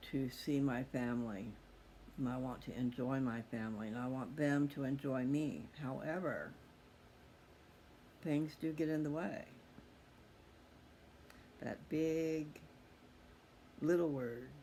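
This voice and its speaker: A man's voice: medium pitch, unhurried, long pauses, darkish tone. A middle-aged man talking casually and candidly in a rambling, reflective personal monologue, addressing his listeners directly. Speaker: host of a personal video channel. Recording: clearly audible background noise.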